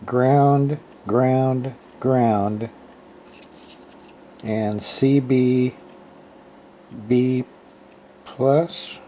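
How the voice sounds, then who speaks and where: A man with a mid-pitched voice talks through hands-on repair work at a slow pace. He rambles casually and haltingly, often muttering to himself as he works things out. Noticeable background noise and room ambience sit behind his voice. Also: steady tone, warm tone. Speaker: hobbyist radio repairer. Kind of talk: casual hands-on repair narration, thinking aloud.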